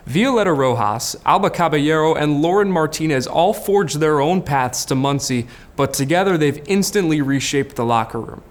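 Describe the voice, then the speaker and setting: A man giving the scripted narration that introduces a college sports feature. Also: on the high side. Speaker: student sports show narrator.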